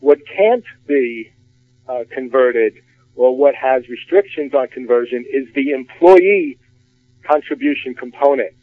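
A man, a retirement plan expert, explaining rules in a measured way on a radio finance discussion. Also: medium pitch, dry recording. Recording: phone-like narrow band.

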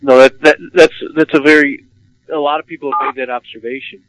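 A man's voice: mid-range voice, fairly even intonation, a little fast, a bit bright, no room sound. A man hosting a radio finance discussion, asking questions and often repeating words as he starts. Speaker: radio host. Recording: dull lo-fi radio sound.

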